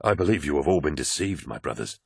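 A man's voice: a bass voice, expressive, quick pace, slightly rough, dry recording, clean studio sound, voice pushed down, full character voice. A man voicing a stern, indignant warrior in an audiobook, distrustful and challenging in manner. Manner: challenging, scornful questioning.

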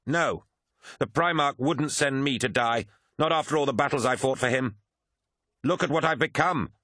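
A swaggering, bitter warrior of an elite order acted by a male narrator, pitched well up and haughty. He speaks with emphatic, petulant pride, as in a dramatic sci-fi audiobook, in a dry recording. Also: expressive, normal speed, fairly bright tone, no room sound. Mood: bitter, indignant.

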